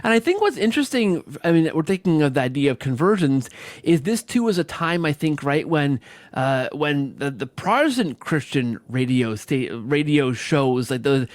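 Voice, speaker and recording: A man's voice: on the high side, very animated, moderate pace, dry recording. A man hosting a casual interview, rambling tentatively and often checking for agreement with tag questions. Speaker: podcast host and interviewer.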